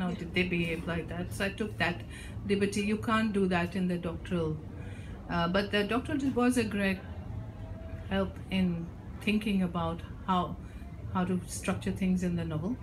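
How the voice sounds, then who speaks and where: A woman author, a Bengali speaker, answers questions at a bookstore launch in a measured, hesitant way full of ums. Her pitch sits in a middle range for a woman. The live recording carries clear background noise. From India, Bengal. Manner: thoughtful, many ums and restarts. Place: bookstore event. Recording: noisy live event recording.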